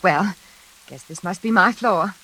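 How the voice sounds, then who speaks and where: A woman playing a nervous, frightened elevator passenger in a classic radio suspense drama, speaking in halting, pleading phrases. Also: medium pitch, bright, forceful tone, husky. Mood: uneasy politeness. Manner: halting, anxious, pleading, repeating herself. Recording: hissy, noisy old radio recording.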